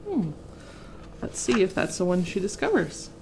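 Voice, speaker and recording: A woman's voice: lowish voice, big pitch swings, normal speed, a little mellow, slight background noise, rough recording. A woman reading a children's picture book aloud expressively, adding chatty asides to young listeners. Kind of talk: children's storybook read-aloud with casual asides.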